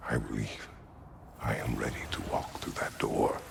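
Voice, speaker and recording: An actor playing a weary, remorseful old war god in a video game cutscene, speaking solemnly and haltingly in a gravelly, breathy voice. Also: male voice, extremely low pitch, little pitch movement, heavily acted. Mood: solemn, reflective. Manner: halting, solemn, weighty pauses. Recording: long reverberant hall, faint noise.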